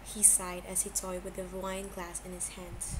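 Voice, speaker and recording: A woman's voice: fairly low pitch, completely flat delivery, normal speed. A woman narrating a story from a script as an amateur audiobook reader, now and then stumbling over words. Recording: clearly noisy amateur home recording.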